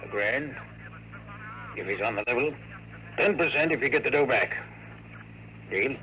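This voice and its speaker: An American actor playing a weary, ailing old mayor in a 1950s radio drama, with a hoarse, hushed, confiding voice. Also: male voice, medium pitch, animated, unhurried. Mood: businesslike, urgent. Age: older. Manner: confiding, grave, throat-clearing. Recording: faintly noisy vintage radio recording.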